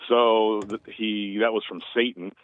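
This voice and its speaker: A man's voice: on the low side, flat delivery, measured pace, no room sound. A man in his late fifties, calling in to a show, talking in an easygoing, rambling way with many fillers. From United States. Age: 50s. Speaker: caller. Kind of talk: casual phone call. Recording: tinny phone line.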